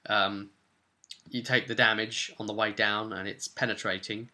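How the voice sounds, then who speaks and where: A man's voice: on the low side, flat delivery, moderate pace, sharp tone. A man giving a casual, rambling, chatty recap of a tabletop role-playing session as its game master.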